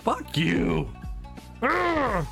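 A man's voice: high voice, huge pitch swings, drawn-out words, vocal fry, a bit bright, faint music behind, pitched above the performer's own voice, full character voice. A man voicing a tough, scornful, foul-mouthed young woman fighter in a visual novel. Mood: furious. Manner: scornful, combative.

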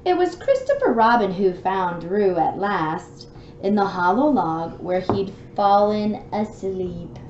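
A woman reading a picture book aloud to a small child in an expressive, sing-song, child-directed way at home. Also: mid-range voice, takes it slowly, roomy sound. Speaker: children's story time reader. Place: room at home with bookshelves. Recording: dull lo-fi home recording with noise.

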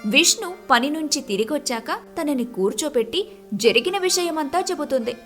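A woman narrates a scripted moral story. Her voice is high, and soft music plays underneath. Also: lively intonation, normal speed.

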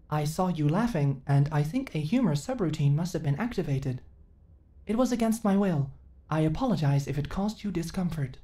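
A woman's voice: on the low side, mellow. A woman playing an earnest, naive companion android in a sci-fi ASMR roleplay, speaking in stilted, formal, literal phrases. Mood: apologetic.

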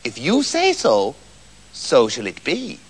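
A man voicing a mysterious, dirty little man from a fairy tale, courteous and measured. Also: mid-range voice, huge pitch swings, slightly rough, voice pushed down, exaggerated voice, poor audio. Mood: ominous.

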